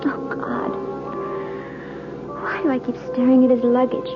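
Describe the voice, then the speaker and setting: An actress playing a frightened, distrustful married woman in an old-time radio mystery, speaking haltingly and anxiously. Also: high-pitched, monotone. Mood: anxious, hushed. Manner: halting, anxious, breathy murmurs to herself. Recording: dull old radio recording with music.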